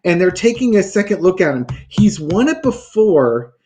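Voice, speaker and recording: A man's voice: high-pitched, sing-song, normal speed, a little mellow, a little hiss or hum. A man reading tarot cards in a casual talk show, thinking aloud with many fillers and restarts. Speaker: tarot reader.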